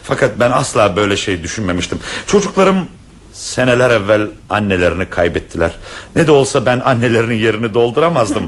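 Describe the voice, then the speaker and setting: A man's voice: on the low side, very animated, fast talker. An actor playing a shy, formal widowed professor and father in a vintage radio play, speaking in courtly, old-fashioned phrases. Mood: bashful.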